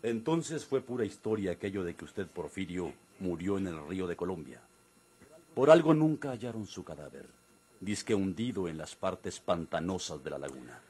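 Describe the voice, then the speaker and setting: An actor playing a grave, authoritative Mexican priest in a radio drama, speaking in a measured, formal way. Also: male voice, deep voice, lively intonation, a little mellow, husky. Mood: stern, accusing. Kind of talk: golden-age radio drama acting.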